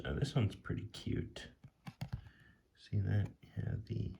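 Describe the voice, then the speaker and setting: A man speaking softly and casually in ASMR style, sometimes near a whisper, musing off the cuff as he reviews something. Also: fairly low pitch, gravelly, slight background noise. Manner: hushed, sometimes dropping to a whisper; casual, laid-back musing. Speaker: tarot deck reviewer. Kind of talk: soft-spoken ASMR, impromptu commentary.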